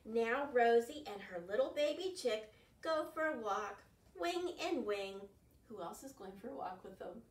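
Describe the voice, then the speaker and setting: An older woman leading an interactive storytime for young children as a children's librarian, speaking in an animated way with exaggerated questions. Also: a little high, unhurried. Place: carpeted living room at home. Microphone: camera across the room. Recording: roomy, distant mic with some background noise.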